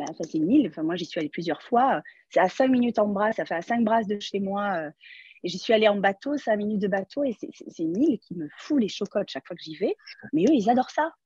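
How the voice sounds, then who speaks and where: Husky-voiced woman on a dull webinar line. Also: medium pitch, a little mellow, no echo. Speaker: novelist guest. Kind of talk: explaining a book in a webinar.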